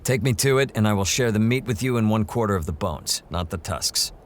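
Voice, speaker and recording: An actor playing a hardened, confident monster hunter wandering a wasteland in a post-apocalyptic audio drama. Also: male voice, on the low side, expressive, fairly quick, natural voice. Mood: gruff, wary. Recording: loud ambient noise behind the voice.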